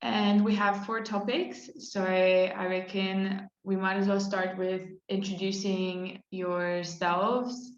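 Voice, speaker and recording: Moderator: woman, slow and friendly, roomy dull video-call sound. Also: medium pitch, level tone. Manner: friendly, instructive. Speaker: panel moderator. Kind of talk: moderating an online panel.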